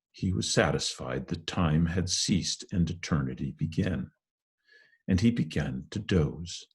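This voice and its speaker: Deep, husky man reading a story. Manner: measured, expressive storytelling. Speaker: reader. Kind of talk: audiobook-style narration of a classic novel.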